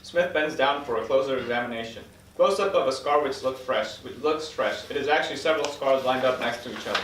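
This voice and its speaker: A man's voice: medium pitch, a little fast, fairly bright tone. A man reading stage directions as the narrator of a screenplay table read. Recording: echoey room, distant mic, faint noise.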